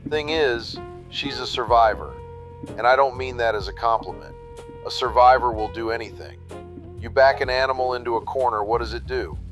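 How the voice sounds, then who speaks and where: A man's voice: moderate pace, fairly bright tone, faint music behind, natural voice. Man acting a cynical, half-amused veteran wrestler. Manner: low-key, bitter, insinuating. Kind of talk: noir audio drama monologue.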